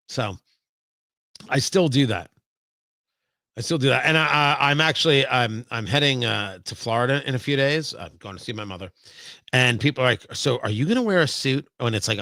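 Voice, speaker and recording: Bright, forceful male live-stream host. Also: medium pitch, no room sound, clean studio sound. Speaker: talk show host. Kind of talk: casual live-stream talk with viewers.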